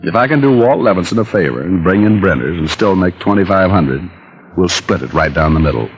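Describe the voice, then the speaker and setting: Wry, low-voiced American radio private eye. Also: male voice, expressive, brisk, slightly rough, full character voice. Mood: guarded, dry. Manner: dry, sardonic. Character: wry, world-weary male private detective, smooth wisecracker. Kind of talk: 1940s radio drama acting with hard-boiled narration. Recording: muffled old-time radio recording, loud music behind.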